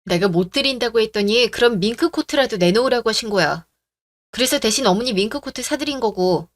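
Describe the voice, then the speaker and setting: A woman voicing a calm, firm young heroine. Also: fairly high pitch, fairly quick, no room sound, natural voice. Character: calm, firm young woman who stands her ground.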